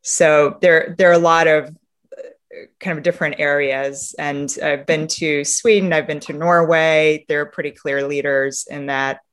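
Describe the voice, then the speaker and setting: Female interview guest from Romania, conversational and reflective, halting delivery with frequent rephrasing. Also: low-pitched, steady tone, clear, resonant tone. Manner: reflective, halting, often rephrasing mid-sentence. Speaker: interview guest, sustainability leader. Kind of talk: conversational interview answers, telling travel anecdotes.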